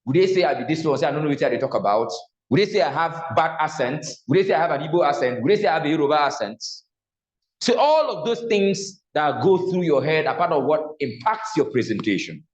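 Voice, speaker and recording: A man's voice: a little high, sing-song, quick pace. Nigerian male instructor in an echoey room. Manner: asks the class questions and answers them himself. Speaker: public speaking instructor. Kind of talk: classroom lecture. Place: classroom. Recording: echoey room, slightly dull sound.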